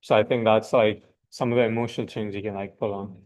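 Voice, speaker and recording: A man's voice: fairly low pitch, level tone, quick pace, a little mellow. A man hosting a casual video call, reading out cold emails and chiming in with short agreements and fillers. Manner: brief agreeing replies, filler-heavy. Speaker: host.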